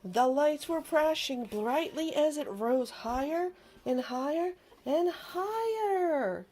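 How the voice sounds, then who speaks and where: Slow, high-voiced woman reading a storybook. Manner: expressive, dramatic storytelling emphasis. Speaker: storybook reader. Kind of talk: children's read-aloud narration. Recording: music behind the voice. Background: music.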